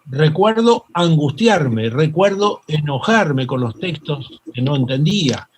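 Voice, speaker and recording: An older Argentine man with a Rioplatense accent, a psychoanalyst telling stories in an interview, halting with many fillers and restarts. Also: a little high, animated, a little hiss or hum. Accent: Rioplatense Spanish. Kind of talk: reflective interview storytelling.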